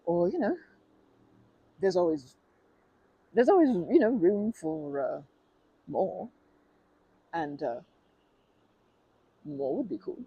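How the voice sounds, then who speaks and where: A woman's voice: on the low side, sing-song, slow, pauses often, husky, dull audio, full character voice. A woman voicing a polite, awkwardly formal stranger in an ASMR roleplay, rambling with many fillers and self-corrections. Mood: shy rambling.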